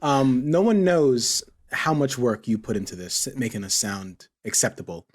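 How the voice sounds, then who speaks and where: A man's voice: mid-range voice, normal speed. A man hosting a casual podcast chat in a playful, teasing manner. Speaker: podcast host.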